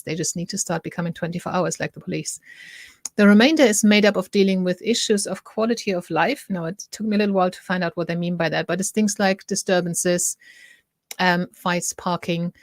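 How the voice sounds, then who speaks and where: A middle-aged woman speaks with a German accent in a lowish, even voice, recorded close and dry. She hosts a casual talk to camera, chatty and prone to stopping mid-thought to correct herself. She keeps turning to viewers to ask what they think. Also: no room sound. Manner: chatty, self-interrupting, asks viewers for opinions. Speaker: host.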